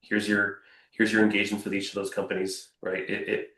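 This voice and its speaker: A man's voice: lowish voice, monotone, a little fast, bright, forceful tone. A man who founded a robotics company, talking with energy and emphasis and often repeating his words. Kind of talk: panel discussion answer. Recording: echoey room, off-mic.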